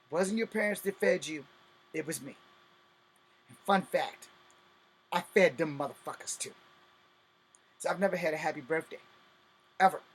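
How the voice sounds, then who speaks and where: A man's voice: on the high side, fairly bright tone, slight background noise, rough recording. A man in his fifties hosting a personal vlog, talking bluntly and bitterly with profanity and emphatic repetition. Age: 50s. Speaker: host.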